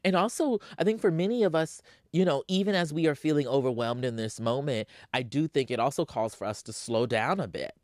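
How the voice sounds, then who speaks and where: A man's voice: medium pitch, brisk, a little mellow, no echo, clean studio sound. A middle-aged man, a journalist guest on a podcast, talking in a casual, reflective way and laughing easily. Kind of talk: casual chat.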